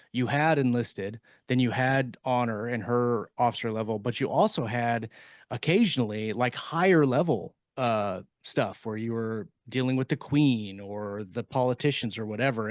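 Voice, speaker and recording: A man's voice: medium pitch, no room sound, clean studio sound. A man in casual discussion as a podcast co-host, explaining in a rambling, hedging way.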